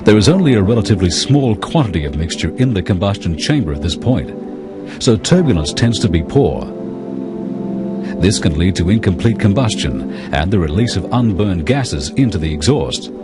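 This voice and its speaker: A man reading scripted technical narration for an educational film in a measured, explanatory, impersonal manner. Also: deep voice, big pitch swings, over loud music.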